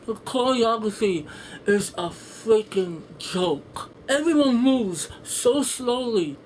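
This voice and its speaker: A woman's voice: a little high, animated, slow, darkish tone, slight background noise, low-quality recording. A woman giving an unscripted, ranting movie review in an exasperated, emphatic, sweary way. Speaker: film reviewer and host.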